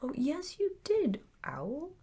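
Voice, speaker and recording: A woman voicing a humble, slow-witted, wistful bear in a children's bedtime story, with a hesitant, stammering manner. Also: sits high, wildly swooping pitch, dark tone, pitched above the performer's own voice, heavily acted. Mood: insistent.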